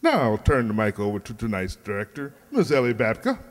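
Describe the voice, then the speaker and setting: A man formally and deliberately reading a prepared announcement to an audience as director and host. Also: lowish voice, huge pitch swings. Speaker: director and host of a radio play group. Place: indoor hall, live stage event. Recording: reverberant hall.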